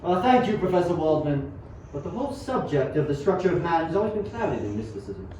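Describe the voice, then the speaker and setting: A man's voice: fairly high pitch, sing-song, brisk, warm tone. A young man acting an earnest, obsessive 19th-century scientist in an amateur radio play, with dramatic, formal delivery. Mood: polite, eager. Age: young adult. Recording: very echoey, distant, dull, faint noise.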